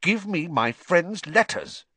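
A man's voice: high voice, very animated, slow, fairly bright tone, breathy edge, no room sound, voice pushed up, heavily acted. A man voicing an earnest young boy, urgent and a little desperate.

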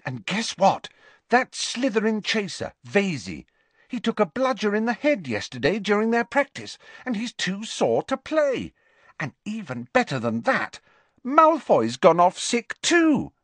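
A man voicing a cheerful, confident teenage schoolgirl athlete in an audiobook, brisk, blunt and gossipy. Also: a little high, wildly swooping pitch, breathy edge, dry recording, voice pushed up, exaggerated voice. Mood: bright, gleeful.

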